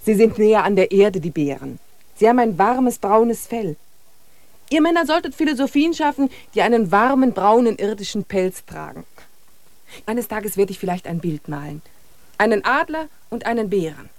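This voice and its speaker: A woman's voice: medium pitch, lively intonation, normal speed, a little mellow, no echo. A woman in a classic radio play speaking directly and spiritedly, impatient with abstract talk. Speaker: main character, painter.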